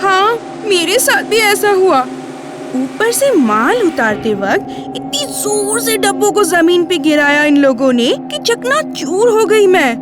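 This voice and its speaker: A woman's voice: very high-pitched, sing-song, takes it slowly, exaggerated voice. A woman playing a fragile, distraught biscuit carton in a training film, complaining plaintively and melodramatically. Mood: indignant, hurt. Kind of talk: acted dialogue in a training film. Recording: music behind the voice.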